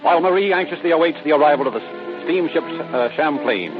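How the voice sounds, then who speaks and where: A man's voice: on the high side, animated, quick pace. A man narrating in a dramatic, scripted 1930s radio announcer style. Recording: dull old radio broadcast. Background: music.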